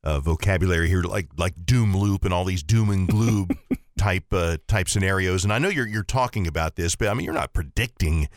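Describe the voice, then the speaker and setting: A man's voice: deep voice, brisk, no echo, studio quality. A man co-hosting a radio show, questioning his partner in a skeptical way. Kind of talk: casual radio chat.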